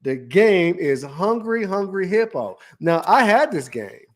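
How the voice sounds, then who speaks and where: This is a man in his fifties with a drawl who co-hosts a podcast. He talks in an easygoing, joking way and stretches his stories out. His voice sits a touch high for a man. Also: sing-song. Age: 50s. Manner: easygoing, joking, drawn-out storytelling. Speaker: podcast co-host. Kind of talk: casual chat.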